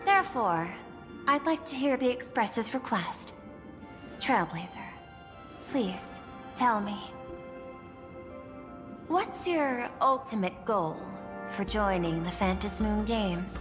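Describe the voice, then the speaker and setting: An actress playing a composed woman executive in a video game, speaking formally, with measured and eloquent phrasing. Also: mid-range voice, animated. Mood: grave, measured. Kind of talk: scripted video game dialogue. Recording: long reverb, music underneath. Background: clear music.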